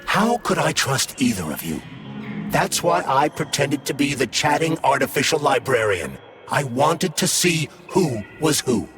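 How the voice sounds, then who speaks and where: An actor playing a male consciousness trapped in a polymer glove, speaking smoothly and gravely. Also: medium pitch, very animated, measured pace. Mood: earnest. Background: music.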